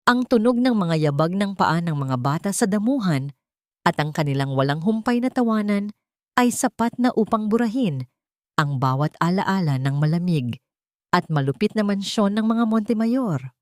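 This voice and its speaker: A woman's voice: medium pitch, lively intonation, moderate pace, a little mellow, no room sound, clean studio sound. A woman with a Filipino accent narrating a dramatic story from a script. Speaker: audiobook narrator.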